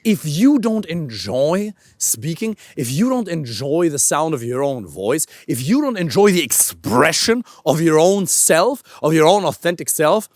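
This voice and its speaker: A man's voice: a little high, very animated, a little slow, few pauses, no echo, studio quality. A man coaching other men on how to use their voice, talking to camera while walking outdoors, emphatic and casual, with the odd swear word. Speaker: dating coach.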